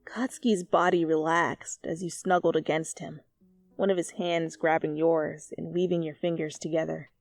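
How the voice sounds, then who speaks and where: A woman's voice: low-pitched, little pitch movement, normal speed, gravelly, no room sound, faint music behind. A woman narrating fan fiction as a dramatic storyteller in an ASMR style.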